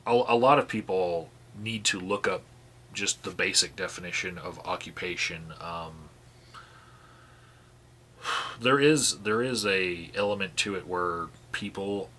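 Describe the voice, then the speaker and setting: Sighing man with a bright, forceful voice. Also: sits low, slight background noise. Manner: sighing, halting restarts between read passages. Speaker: activist vlogger reading out call logs. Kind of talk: reading records aloud with commentary.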